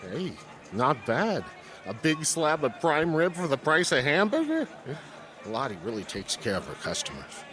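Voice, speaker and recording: An actor playing a boastful, eager American brother in a radio drama, insistent and argumentative. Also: male voice, a little high, wildly swooping pitch, moderate pace, fairly bright tone. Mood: needling. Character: boastful, eager male brother, big spender.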